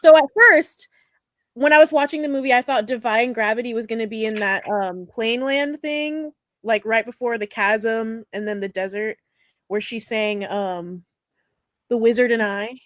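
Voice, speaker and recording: Higher-voiced woman in casual discussion. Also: moderate pace, a bit bright, no echo. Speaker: podcast panelist reviewing a film.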